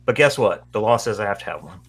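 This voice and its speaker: A man's voice: fairly low pitch, expressive, quick pace, fairly bright tone, breathy edge. A man co-hosting a casual podcast, skeptical and emphatic, swearing to drive his points home. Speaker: podcast co-host.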